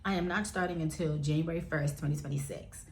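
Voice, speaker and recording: Low-voiced frank woman in her forties. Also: a little fast, a little hiss or hum. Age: 40s. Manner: chatty and frank, addresses viewers as y'all; lively, with sudden jumps up in pitch. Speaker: vlogger. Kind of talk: candid casual chat to camera.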